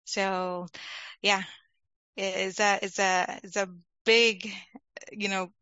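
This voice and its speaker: A woman's voice: medium pitch, level tone, slow pace, sharp tone, clean tone, dry recording, highs cut off. A young woman with a Dominican accent, a product manager chatting as an interview guest and laughing often. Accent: Dominican Spanish. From Dominican Republic. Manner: laughs often, talkative, restarts phrases. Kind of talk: casual interview chat.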